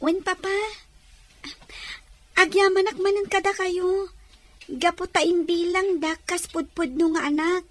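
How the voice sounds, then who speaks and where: Very high-voiced young daughter played by a woman. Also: fairly even intonation, a little slow, long pauses, no echo. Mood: grateful, earnest. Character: grateful, earnest young blind adopted daughter. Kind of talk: Ilocano audio drama acting.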